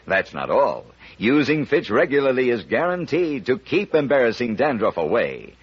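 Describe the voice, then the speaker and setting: An American male announcer delivers an old-time radio advertisement with emphatic, step-by-step phrasing. His voice is husky and very animated, on a dull, band-limited recording. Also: medium pitch, normal speed. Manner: emphatic, instructional. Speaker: commercial announcer. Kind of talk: vintage radio advertising pitch.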